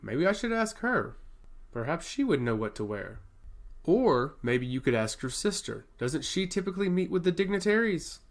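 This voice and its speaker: Earnest fantasy warrior , played by a male narrator, fretful, with lively intonation. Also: fairly high pitch, normal speed, pitched above the performer's own voice. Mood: wondering.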